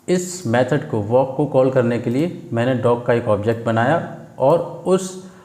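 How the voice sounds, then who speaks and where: An Indian man teaches in an informal screen-share tutorial style, recorded with a roomy echo. His pitch is average for a man. He explains step by step, posing questions, answering them and checking in often. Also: dark tone. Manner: didactic, asks and answers own questions, frequent check words. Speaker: programming tutor. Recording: echoey, roomy sound.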